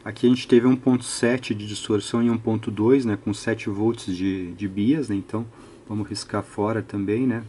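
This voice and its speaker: A man's voice: lowish voice, fairly even intonation, normal speed, warm tone, slight background noise. A Brazilian man giving an unscripted technical tutorial, methodically thinking aloud and often adding short tag questions. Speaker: electronics hobbyist presenter.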